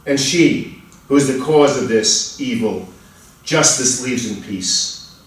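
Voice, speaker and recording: A man's voice: medium pitch, animated. Man playing a pleading accused murderer in an echoey hall. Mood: bitter resignation. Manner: emphatic, pleading, drawn-out outbursts. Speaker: reader playing the accused in a play. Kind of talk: dramatic stage reading. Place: large indoor room at a college festival reading. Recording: echoey hall, far from the mic.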